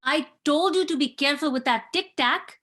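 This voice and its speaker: A woman's voice: sits high, normal speed, pushed and bright. A woman reading the part of a worried, nagging mother in her fifties at an online table read, sounding fretful.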